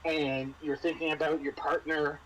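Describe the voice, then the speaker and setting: A man's voice: a little high, level tone, normal speed, a crowd in the background, rough recording. A man acting as game master of a tabletop role-playing game, explaining rules and narrating the players' actions. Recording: faint background noise.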